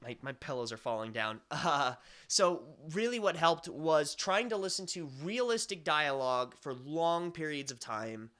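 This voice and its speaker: A man's voice: fairly high pitch, lively intonation, a little slow, few pauses, a bit bright. A young American man hosting a live stream at home, chatting in a rambling way with many fillers and restarts. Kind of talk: casual chat.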